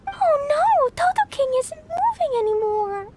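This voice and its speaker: A woman voicing an energetic, innocent little girl in a video game, with a childlike, exclaiming delivery. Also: very high-pitched, measured pace, exaggerated voice. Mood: let down. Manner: childlike, exclaiming, stumbles over big words. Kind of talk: video game character acting. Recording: music behind the voice.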